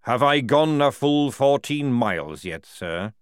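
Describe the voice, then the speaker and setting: A man voicing a fierce, loyal old male army sergeant who barks his words in a forceful parade-ground manner. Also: mid-range voice, lively intonation, unhurried, clear, resonant tone, dry recording, studio quality, pitched above the performer's own voice, close to an everyday voice. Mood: stubborn, pleading.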